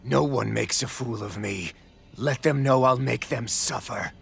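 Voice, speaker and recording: An actor playing a ruthless, power-hungry villain in a video game cutscene, talking coldly and rising to grandiose proclamations. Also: male voice, mid-range voice, measured pace, vocal fry, fairly bright tone, breathy edge, full character voice. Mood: cold menace. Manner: cold, menacing, rising to proclamations. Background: game music.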